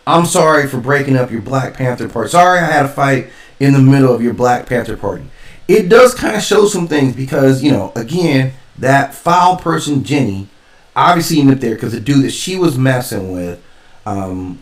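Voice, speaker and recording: A man giving casual spoken commentary as a stream host, rambling and emphatic as he thinks aloud. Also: medium pitch. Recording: roomy echo, distant from mic.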